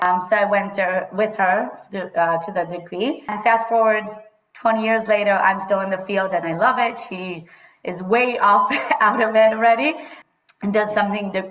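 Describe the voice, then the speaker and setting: A woman's voice: medium pitch, a bit bright, roomy sound, rough recording. A woman in her thirties from Israel, chatting as a podcast guest and mixing Hebrew words into her talk. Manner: chatty, mixes in Hebrew words, laughs often. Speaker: guest, HR consultant. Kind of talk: casual interview conversation.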